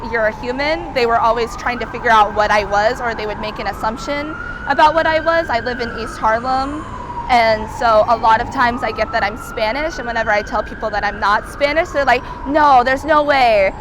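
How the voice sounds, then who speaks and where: A young woman with a high voice gives earnest, candid answers in an interview, now and then pausing on fillers. She is recorded outdoors on a city street, with faint traffic noise behind her. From California.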